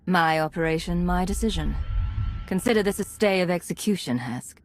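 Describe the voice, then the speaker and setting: Female Imperial agent, played by a woman: slightly low, controlled, commanding game dialogue, unflappable. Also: animated, normal speed, soft background music, natural voice. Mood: coldly commanding. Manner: controlled, authoritative. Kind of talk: video game dialogue acting.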